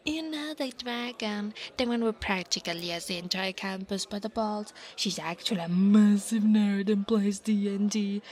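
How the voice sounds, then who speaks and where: A woman's voice: medium pitch, darkish tone, lightly acted. A woman voicing an insecure, defensive young dragon student bully in an ASMR roleplay, haughty and flustered, stammering and often half-whispering. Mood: threatening, scornful. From Italy. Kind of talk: ASMR monster roleplay acting.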